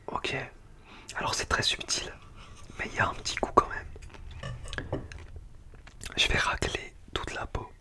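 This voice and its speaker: An ASMR creator, speaking in a breathy, unvoiced whisper in an informal, slangy, chatty way while reviewing food. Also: slow, pauses often. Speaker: ASMR video creator and host.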